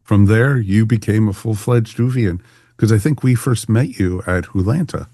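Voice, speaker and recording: A man's voice: on the low side, moderate pace, mellow, no echo, studio quality. A man hosting a podcast in a casual, chatty way, with a jokey, folksy manner. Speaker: podcast host.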